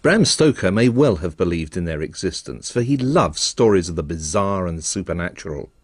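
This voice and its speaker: A male radio presenter delivers scripted documentary narration in a measured, articulate way. His lowish voice is husky and lively in pitch, and it sounds dry and close. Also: no room sound.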